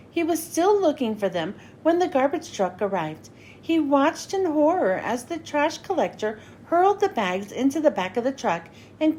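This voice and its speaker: A woman narrating a children's storybook as a read-aloud host, with expressive, clearly phrased delivery. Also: sits high, slight background noise.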